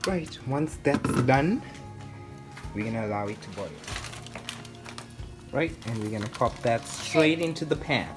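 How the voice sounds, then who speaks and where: A man's voice: mid-range voice, lively intonation, a little slow, pauses often. A young man hosting a cooking vlog, casually narrating each step in an instructional way and often checking in with "right?". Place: home kitchen, indoors. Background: loud music.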